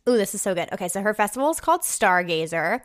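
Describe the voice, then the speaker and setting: A woman's voice: mid-range voice, sing-song, fast. A young woman with a New Jersey American accent, a podcast host reading listener emails aloud and reacting in an effusive, slangy, casual way with some swearing. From New Jersey, United States.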